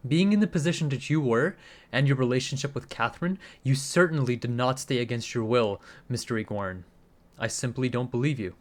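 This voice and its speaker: A man's voice: mid-range voice, brisk, natural voice. Radio drama role: hard-nosed male agent , played by a man, mid-range voice, scornful and accusatory, leaning on an informant under interrogation. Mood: suspicious, probing. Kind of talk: radio play acting.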